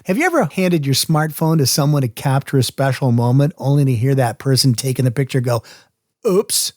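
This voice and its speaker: A man's voice: mid-range voice, animated, darkish tone, no echo. Upbeat man hosting a podcast, dry studio sound. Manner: upbeat, chatty, spells out names slowly. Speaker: podcast host. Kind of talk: casual podcast interview. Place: recording studio.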